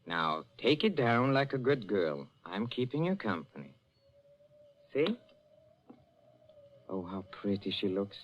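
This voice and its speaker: An actor playing a jealous middle-aged husband in an old-time radio drama, speaking with mock tenderness and a halting, toying menace. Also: male voice, lowish voice. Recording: muffled old radio recording.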